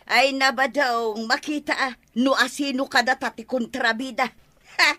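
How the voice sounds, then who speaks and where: A woman with an Ilocano accent playing a scornful, sharp-tongued village wife in a Filipino comedy radio drama. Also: high-pitched, steady tone, moderate pace.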